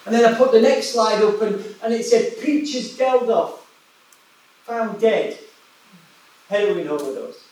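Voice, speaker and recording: A man's voice: high voice, measured pace, long pauses, a little mellow, distant mic. Yorkshire man preaching in an echoey hall. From West Yorkshire, England. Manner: conversational, storytelling, many restarts and repetitions. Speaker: evangelist preacher. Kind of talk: sermon.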